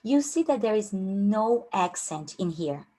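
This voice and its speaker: A woman's voice: mid-range voice, sing-song, a little slow, dark tone. Young Brazilian-accented woman coaching, casual workshop talk, lots of fillers. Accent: Brazilian Portuguese. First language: Portuguese. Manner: informal, many fillers and restarts. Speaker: career coach.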